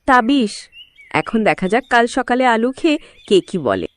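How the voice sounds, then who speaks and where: A woman's voice: fairly high pitch, very animated, a little slow, no echo. A woman playing a devoted, devout farmer's wife in a village cartoon, speaking earnestly and caringly. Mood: expectant. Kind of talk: Bengali cartoon voice acting.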